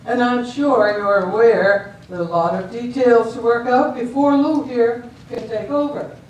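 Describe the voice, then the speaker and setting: A woman in an amateur stage reading, playing a composed, decisive government official with a measured, formal delivery. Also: fairly high pitch, a little mellow, lo-fi sound, close to an everyday voice. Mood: matter-of-fact. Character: composed, decisive female official judging a border dispute. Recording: echoey hall, distant mic, faint noise.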